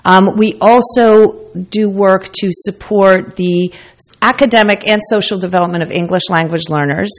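A woman's voice: mid-range voice, moderate pace. An American woman speaking conversationally as the guest in a radio interview. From United States. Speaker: interview guest, company founder.

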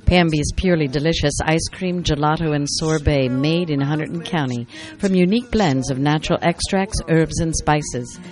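An announcer reading a scripted radio ad in a smooth, enticing way. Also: medium pitch, moderate pace, few pauses, a little mellow. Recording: radio ad with music bed.

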